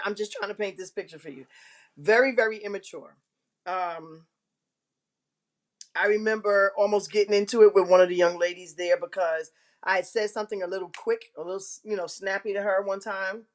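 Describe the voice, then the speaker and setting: A woman with a big voice that carries, talking straight to her viewers as host of her own live show. She tells her story frankly and pokes fun at herself, clearing her throat now and then, at a middling pitch. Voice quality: big, carrying voice. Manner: frank and self-mocking, with frequent throat clearing. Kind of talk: candid personal storytelling to viewers.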